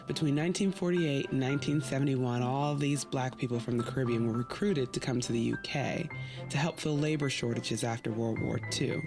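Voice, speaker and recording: A woman's voice: rumbling low, a little fast. Woman podcast host, wry conversational narration, playful asides, faint music underneath. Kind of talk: scripted storytelling narration.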